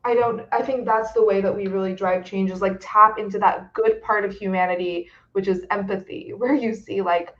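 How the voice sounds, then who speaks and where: A woman's voice: mid-range voice, steady tone, moderate pace, few pauses. A young woman on a video-call webcast, speaking earnestly with frequent "like" fillers. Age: young adult. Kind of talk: webcast discussion. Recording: dull lo-fi audio.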